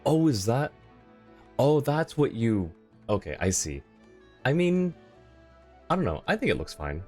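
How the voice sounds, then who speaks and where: A man's voice: mid-range voice, very animated, unhurried, darkish tone. A man doing casual, chatty livestream commentary on a game, reacting and replying to his chat. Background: faint game music.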